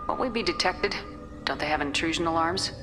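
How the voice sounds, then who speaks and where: A woman playing a steady, pragmatic military commander in a video game, with a direct, measured, questioning manner. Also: sits low, over music, close to an everyday voice. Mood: firm, probing. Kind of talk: video game dialogue acting.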